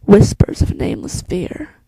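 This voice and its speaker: A woman playing a solemn, wise elf queen who narrates a fantasy monologue in a hushed, deliberate way, with a soft voice that slips toward a whisper. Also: no echo, close to an everyday voice, rough recording. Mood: hushed, ominous. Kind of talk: slow dramatic fantasy monologue.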